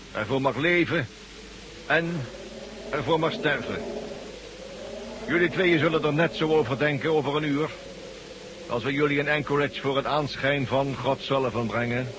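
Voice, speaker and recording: Man as devout villain's henchman, mid-pitched, self-assured, fanatical, radio drama, clearly noisy recording. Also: natural voice. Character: smug, devout henchman and envoy of a false god. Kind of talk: science-fiction radio drama acting.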